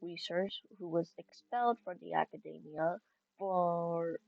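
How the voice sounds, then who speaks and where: A woman streaming a game casually, reading the on-screen text aloud in a halting mutter. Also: fairly low pitch, fairly even intonation, gravelly. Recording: dull lo-fi mic, faint game music.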